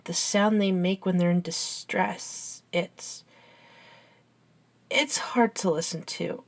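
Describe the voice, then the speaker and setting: A woman playing a caring, curious, science-minded young best friend in an ASMR roleplay, with an earnest, halting, gently teasing manner. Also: lowish voice, creaky. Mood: sympathetic. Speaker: amateur audio roleplay voice actor.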